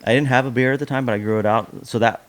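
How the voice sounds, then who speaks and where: A man's voice: medium pitch, fairly even intonation, rapid-fire. A man chatting casually as a podcast guest, a country musician who rambles and swears freely. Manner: rambling, profane. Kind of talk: casual interview chat.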